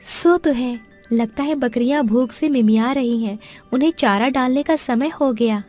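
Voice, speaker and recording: A woman voicing a calm, kindly village wife. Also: high-pitched, normal speed, soft background music.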